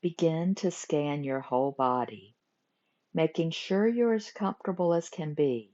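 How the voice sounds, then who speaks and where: A woman teaching guided meditation, giving gentle instructions in short phrases with pauses between them. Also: sits low. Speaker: yoga nidra meditation teacher. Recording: muffled lo-fi recording.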